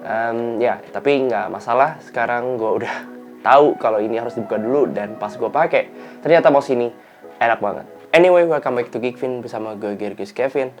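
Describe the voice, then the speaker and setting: A man's voice: medium pitch, fairly quick, faint music behind. A young man hosting a casual tech review, his nose stuffed up and his voice tired from being run-down. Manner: relaxed, chatty, slang-heavy delivery.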